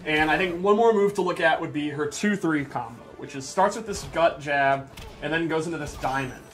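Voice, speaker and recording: A man's voice: on the high side, brisk, fairly bright tone, soft background music. A man giving casual gameplay commentary, explaining things enthusiastically. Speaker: presenter of a game character breakdown.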